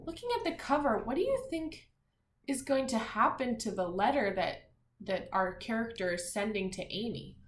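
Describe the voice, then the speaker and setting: A woman teacher giving a read-aloud vocabulary lesson to young children, enunciating deliberately, repeating phrases and putting questions to her listeners. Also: a little high, expressive. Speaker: primary school teacher. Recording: echoey room.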